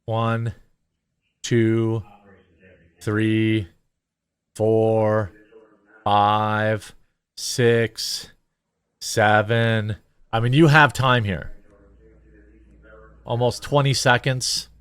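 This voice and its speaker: A man's voice: on the low side, level tone, drawn-out words, pauses often, fairly bright tone, smooth. A man giving casual commentary on news footage as a show host, conversational and a little hesitant as he thinks aloud.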